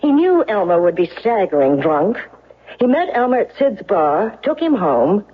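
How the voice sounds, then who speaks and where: A woman's voice: medium pitch, very animated, moderate pace, slightly rough. A woman playing a commanding, relentless defense lawyer in a 1970s radio courtroom drama, speaking in an emphatic, accusatory way. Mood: accusing. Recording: dull, lo-fi old radio recording.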